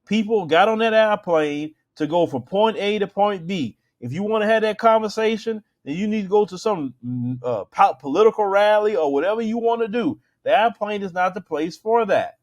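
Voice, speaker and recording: A man's voice: sits high, expressive, moderate pace, few pauses, a little mellow. An American man hosting a show, giving casual opinion commentary in an emphatic, colloquial, conversational way.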